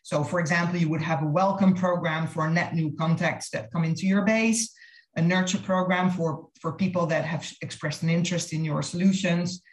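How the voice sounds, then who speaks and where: Low-voiced woman presenting in an echoey room. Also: steady tone, normal speed. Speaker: marketing consultant presenting a case study. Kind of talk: slide presentation on a video call. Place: online webinar over video call. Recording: roomy, echoey video-call audio.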